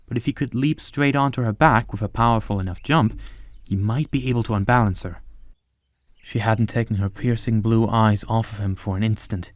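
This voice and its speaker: A man's voice: fairly low pitch, moderate pace, no echo. A man narrating an audiobook story from a script.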